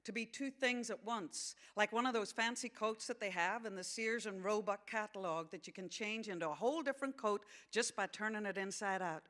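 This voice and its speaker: A woman playing an earnest sixteen-year-old farm girl who narrates a novel in an attempted American accent, with measured delivery. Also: medium pitch, a bit bright, pitched above the performer's own voice, close to an everyday voice. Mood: earnest, observant. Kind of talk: dramatised novel reading.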